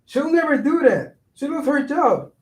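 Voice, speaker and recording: A man's voice: very high voice, very animated, moderate pace, a little mellow. An actor playing a cautious, skeptical young father in a staged reading, answering his friend with blunt slangy retorts. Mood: incredulous, wary. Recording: roomy echo, distant microphone.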